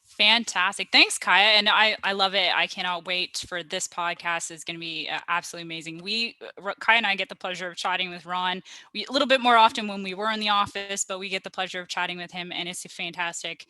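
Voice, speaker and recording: A woman's voice: on the low side, steady tone, fairly quick, bright, forceful tone, dry recording. A woman hosting a webinar in an upbeat, gushing, enthusiastic way. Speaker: webinar host. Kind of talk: enthusiastic hosting, guest introduction.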